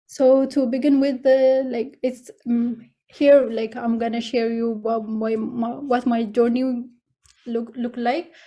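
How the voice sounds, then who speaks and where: A woman's voice: a little high, level tone, slow pace, mellow, muffled recording. A young woman with an Ethiopian accent giving an informal online presentation, hesitant and often repeating her words. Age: young adult. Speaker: scholarship student presenter. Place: online video meeting.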